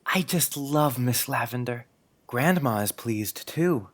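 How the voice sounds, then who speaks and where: A sincere young schoolboy, acted by a man with a medium-pitched voice in a dramatised storybook. He is affectionate and chatty, confiding in his teacher. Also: animated, slow pace. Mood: earnest, pleased. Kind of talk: dramatised audiobook acting.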